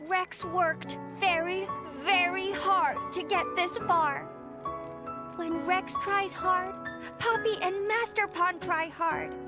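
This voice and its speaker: A woman's voice: very high-pitched, heavily acted. A woman voicing a small, earnest robot girl in a game, pleading in simple, clipped grammar. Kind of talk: anime-style game cutscene acting.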